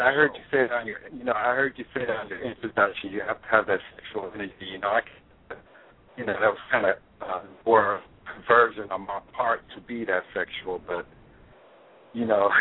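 Husky-voiced man calling in by phone. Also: on the low side. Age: 40s. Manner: eager, affirming, laughs easily. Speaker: caller. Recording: phone-line call-in audio.

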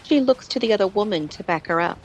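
A woman's voice: medium pitch, lively intonation, fast, a little mellow, slight background noise. A woman reading scripted narration for a radio play.

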